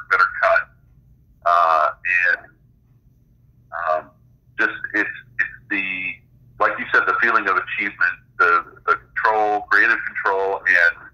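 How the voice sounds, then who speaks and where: Deep, very bright-voiced man on dull line. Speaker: interview guest, author. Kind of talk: conversational interview answers. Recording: dull, band-limited phone or radio line.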